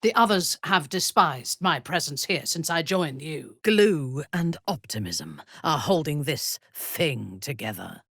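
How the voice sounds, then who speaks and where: Ruthless drow elf lady for a video game, low husky voice, slow n menacing, dry close sound. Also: lively intonation, a bit bright, no room sound, exaggerated voice. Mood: cold, calculating. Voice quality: husky, breathy. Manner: imperious, deliberate, formal diction. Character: haughty, ruthless drow elf warrior woman, commanding and menacing. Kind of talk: video game voice acting, dramatic character lines.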